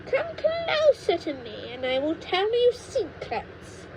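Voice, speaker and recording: A woman voicing a sinister, witchy old grandmother in a storybook, menacing and secretive, with a drawn-out delivery. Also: wildly swooping pitch, exaggerated voice. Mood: creepy, coaxing. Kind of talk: storybook character voice in an audiobook reading. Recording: noisy low-quality home microphone.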